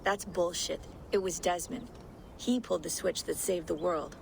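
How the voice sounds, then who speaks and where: A woman playing a steady, blunt modern team member in a game cutscene, matter-of-fact in delivery. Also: fairly low pitch, moderate pace, gravelly, a little hiss or hum. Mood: firm. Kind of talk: naturalistic game cutscene acting.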